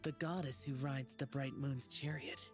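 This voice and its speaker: Low-voiced, composed young heroine. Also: female voice, steady tone. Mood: dry, calm. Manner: measured, polite. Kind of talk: anime video game voice acting. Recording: loud music behind.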